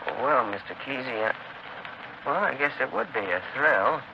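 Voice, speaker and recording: An actor playing a timid, polite out-of-town visitor who stammers and hesitates, in 1930s radio thriller style on a noisy old broadcast. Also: male voice, medium pitch, lively intonation, moderate pace, gravelly, muffled recording. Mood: uneasy.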